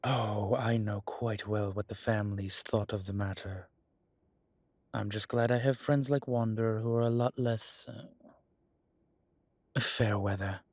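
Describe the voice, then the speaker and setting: A man playing an ancient, proud vampire elder in an audio drama, deliberate and quietly menacing, with a husky voice. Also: on the low side, steady tone, no room sound. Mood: wry, composed. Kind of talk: audio roleplay drama acting.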